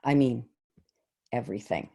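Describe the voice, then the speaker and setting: A woman playing an earnest, shaken middle school teacher in a staged monologue, reflective and halting, with deliberate pauses, over a video call. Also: a bass voice, lively intonation, gravelly, warm tone, heavily acted.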